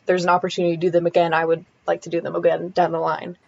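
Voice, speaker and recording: A teenage girl answers in a slightly hesitant, measured way, her voice a little low. The sound is somewhat dull and muffled. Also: completely flat delivery, creaky, a little mellow. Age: late teens. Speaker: high-school student actor. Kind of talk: casual interview answers.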